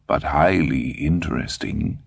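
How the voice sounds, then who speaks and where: A man voicing a cruel old man, speaking slowly with mocking, menacing politeness. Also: extremely low pitch, creaky, slightly rough, dry recording, heavily acted. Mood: gloating. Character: sly, cruel old German man in a bathrobe.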